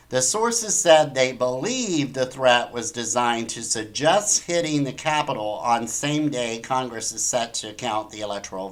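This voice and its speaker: A man's voice: medium pitch, fairly bright tone. Emphatic, incredulous man hosting talk. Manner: incredulous, emphatic, rhetorical questions. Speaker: talk show host. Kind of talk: opinionated news commentary, reading articles aloud.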